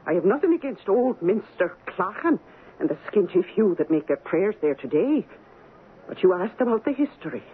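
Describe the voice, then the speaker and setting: An actress playing a superstitious, blunt Scottish housekeeper with an ominous, dialect-laden manner in a radio drama. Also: female voice, medium pitch, sing-song, moderate pace, close to an everyday voice. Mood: grim, ominous. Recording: muffled, noisy 1970s radio broadcast.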